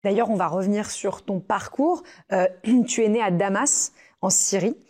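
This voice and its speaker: A woman's voice: mid-range voice, normal speed, mellow. A woman hosting a podcast interview, asking probing questions in a conversational way. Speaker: podcast host.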